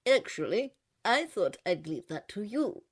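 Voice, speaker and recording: A woman voicing an awkward, well-meaning middle-aged man in a storybook reading, in a hesitant, gentle manner. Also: medium pitch, sing-song, unhurried, breathy edge. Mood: thoughtful.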